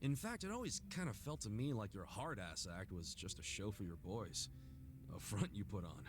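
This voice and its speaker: Man playing animated, good-hearted video game brawler. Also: on the low side, moderate pace, background noise, natural voice. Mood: earnest. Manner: frank, informal, expressive. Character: earnest, soft-hearted adult male street brawler. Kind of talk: English video game cutscene acting. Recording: music under the dialogue.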